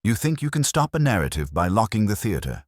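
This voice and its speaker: A man's voice: lowish voice, very animated, fairly quick, no echo, studio quality, pitched above the performer's own voice. A man voicing a suave, vain, theatrical artist and schemer with smug, ornate phrasing, in an audiobook mystery. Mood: defiant.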